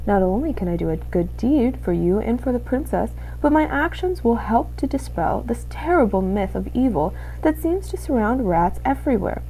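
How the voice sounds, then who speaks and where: Woman playing cruel sly male rat, storybook villain, mock-polite, insinuating, mellow, very lively intonation. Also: a little high, a little hiss or hum, poor audio. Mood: oily, mock-friendly. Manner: falsely courteous, drawn-out, insinuating.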